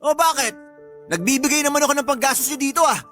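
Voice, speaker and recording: A man's voice: very high-pitched, fast, fairly bright tone, soft background music. A man playing a belligerent, boastful stepfather in a radio drama, with a Filipino accent and a confrontational, domineering way of talking. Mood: irritated, defensive. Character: belligerent, boastful live-in stepfather, quick to bully.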